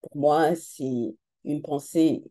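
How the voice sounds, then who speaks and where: A woman speaking as a panelist in an earnest, measured way, with frequent filler sounds. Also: low voice, mellow, no room sound. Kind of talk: panel talk.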